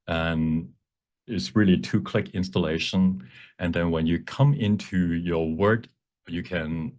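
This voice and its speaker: Man, deep voice, bilingual instructor, step-by-step explanation, halting phrasing with repeats. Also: a little mellow. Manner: bilingual, switches between English and Cantonese. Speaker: workshop presenter, instructor. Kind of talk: live software demonstration, explanatory teaching.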